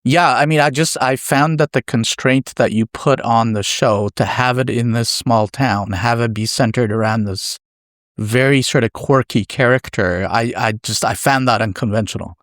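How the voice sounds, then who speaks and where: A man's voice: medium pitch, normal speed, fairly bright tone, no echo. Male podcast host, medium register, conversational and tentative interviewer, dry studio-like sound. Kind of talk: casual interview questions.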